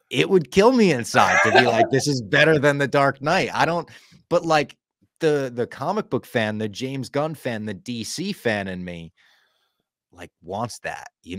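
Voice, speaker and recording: A man's voice: medium pitch, no room sound, studio quality. A man in his forties, co-hosting a podcast in casual, swearing chat over a video call from a room at home. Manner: relaxed, swearing, storytelling. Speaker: podcast host. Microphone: headphones, video call.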